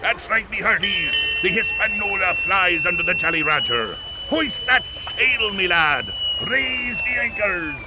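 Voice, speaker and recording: Roguish old pirate, pirate dialect, growling bluster, swinging pitch, noisy audio drama. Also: male voice, lively intonation, unhurried, few pauses.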